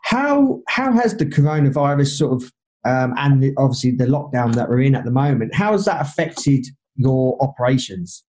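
A man's voice: medium pitch, sing-song, moderate pace, a little mellow. A man hosting an interview podcast who introduces his guest and asks chatty questions full of fillers. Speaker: podcast host.

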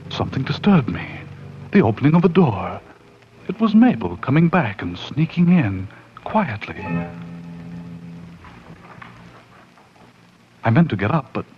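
An intense, uneasy man played by an actor recounts a horror tale with suspenseful pauses and widely swinging pitch. It is 1940s radio drama, muffled and faintly noisy. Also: lightly acted. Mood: tense, subdued. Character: excitable, uneasy man narrating his own horror tale. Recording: dull, slightly noisy 1940s radio recording.

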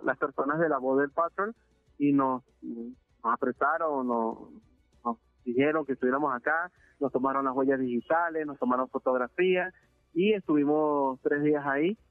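A man's voice: a little high, no room sound. Earnest man from Venezuela on a phone line. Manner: earnest, halting, many filler sounds and restarts. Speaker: interviewee, deported migrant. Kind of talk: phone interview testimony. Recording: narrowband phone line, dry.

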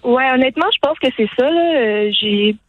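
A teenage girl with a Quebec French accent speaks as a radio interview guest, earnest and quick. Her voice sits a little high for a woman. The sound is close and dry, with a dull, muffled radio tone. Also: animated, no room sound. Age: teens. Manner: earnest, fast-talking, frequent "là" tags.

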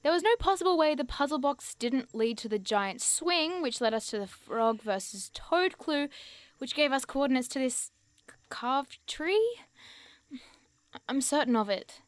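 An actress playing an earnest, excitable, bookish young woman sleuth in an audio drama, speaking precisely and muttering as she puzzles. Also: sits high, unhurried, dry recording. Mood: anxious musing.

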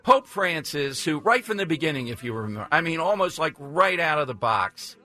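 Bright-voiced Philly talk radio host. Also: male voice, fairly high pitch, very animated, fairly quick. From South Philadelphia. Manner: joking, teasing callers. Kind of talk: casual talk radio banter.

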